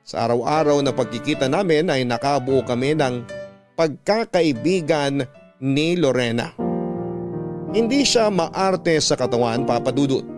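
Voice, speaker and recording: A male narrator plays a sincere Filipino man recalling his young love. His voice is mid-pitched, in scripted first-person radio drama style. Plucked guitar music plays clearly behind him. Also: expressive, measured pace, lightly acted. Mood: reflective. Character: sincere adult man recounting his youthful love story.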